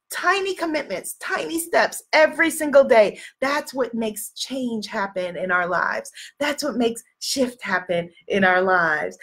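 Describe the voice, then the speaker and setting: A woman hosting a live stream as a spiritual coach, reading out viewer comments and leading affirmations while sniffling from a cold. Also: medium pitch. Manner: encouraging, repeats affirmations, reads out viewer comments. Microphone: live video stream.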